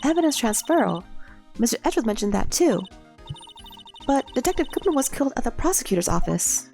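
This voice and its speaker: A woman's voice: high-pitched, big pitch swings, fairly quick, vocal fry, darkish tone, faint music behind, voice pushed up, full character voice. A woman with a cold voicing an eager, curious young girl full of questions. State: sick with a cold. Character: eager young female science-loving girl, bright and curious.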